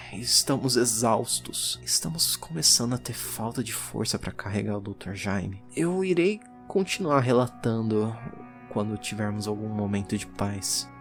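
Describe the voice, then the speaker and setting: A weary occult explorer of the 1850s , acted by a man recounts his journal in a grave Brazilian voice of medium pitch. He pauses slowly, haunted and awed, over clearly audible music in an audio horror drama.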